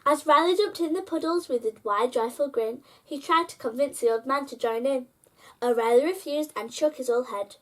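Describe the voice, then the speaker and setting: A woman's voice: sits high, normal speed, fairly bright tone. A woman hosting a story time, reading a children's picture book aloud and addressing young listeners directly.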